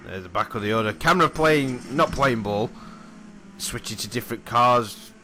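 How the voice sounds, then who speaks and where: A man with a hoarse, croaky voice commentating a replay of his own sim race, chatty and often apologising and correcting himself. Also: medium pitch, moderate pace, bright, forceful tone, a little hiss or hum. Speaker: sim racing commentator and driver.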